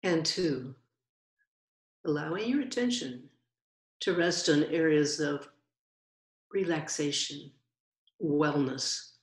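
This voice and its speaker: A woman leads a guided meditation with a low-pitched voice for a woman. She speaks very slowly and gently, leaving long pauses between short phrases. The sound is roomy, with clear echo around her voice. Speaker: meditation teacher.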